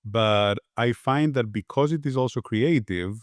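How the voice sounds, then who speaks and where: A man from Greece, a podcast guest, giving relaxed conversational answers with frequent fillers and restarts. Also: medium pitch, a little slow, dry recording, studio quality. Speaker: podcast guest, agency founder.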